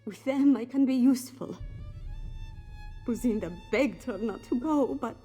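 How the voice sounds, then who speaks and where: A woman voicing a worried, grieving cat-person mother in a fantasy video game, with a husky, plaintive delivery. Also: fairly high pitch, a little slow, dark tone, soft background music. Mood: worried, pleading. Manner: plaintive, speaks of herself in third person. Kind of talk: scripted video game dialogue.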